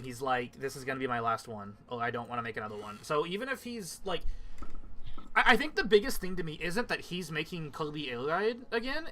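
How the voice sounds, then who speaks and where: A man's voice: fairly high pitch, bright, forceful tone. A man chatting casually with friends on a livestream, swearing freely as he talks. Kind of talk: casual group chat on a livestream. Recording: music playing behind the voice. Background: background music.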